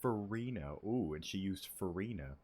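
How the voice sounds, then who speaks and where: A man's voice: deep voice, expressive, normal speed, a little mellow, slightly rough. A young man giving casual, rambling commentary while playing a game. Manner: rambling, filler-heavy. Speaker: streamer.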